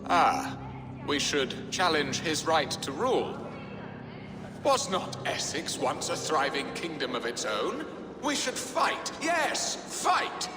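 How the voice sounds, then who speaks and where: A man's voice: a little high, sing-song, slow, music in the background. A man playing a theatrical, self-mocking Saxon lord in a game cutscene, with florid, wry speech. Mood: rousing. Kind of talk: dramatic game cutscene acting.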